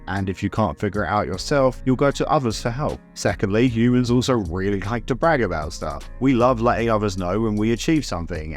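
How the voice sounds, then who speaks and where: A man narrating a scripted video essay in an explanatory, conversational way. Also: lowish voice.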